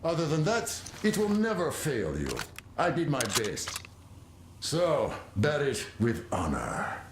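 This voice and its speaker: A friendly game weapons tinkerer. He explains heartily with big swings of pitch. The sound is echoey, with faint noise behind. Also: huge pitch swings, takes it slowly, full character voice. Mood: proud. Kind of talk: video game voice acting.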